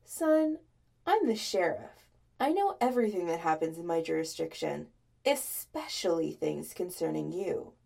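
Woman doing a smug sheriff dad in a story, middle-aged, deliberate, knows everything, animated. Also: fairly low pitch, vocal fry, voice pushed down, exaggerated voice. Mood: authoritative. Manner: deliberate, offhand, authoritative.

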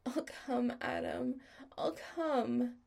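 A woman's voice: high-pitched, fairly even intonation, extremely slow, creaky, darkish tone, pitched above the performer's own voice, exaggerated voice. A woman voicing a needy young man who pleads in a breathless, whispery voice. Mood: needy, pleading.

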